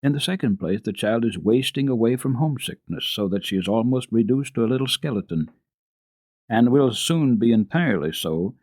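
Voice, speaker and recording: A man's voice: medium pitch, lively intonation, darkish tone, no echo, lightly acted. Man reading as an earnest, firm doctor. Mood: grave, firm. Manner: deliberate, grave, emphatic. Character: earnest, firm family doctor advising his friend. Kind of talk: dramatic storybook reading.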